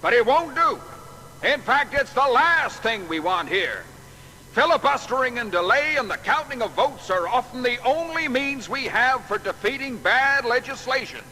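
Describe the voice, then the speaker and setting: High, sharp old politician. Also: male voice, sing-song, moderate pace, soft background music, heavily acted. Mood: patronising, firm. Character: shrewd, blunt old male congressional politician. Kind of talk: dramatised biography acting. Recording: long hall reverb, faint noise.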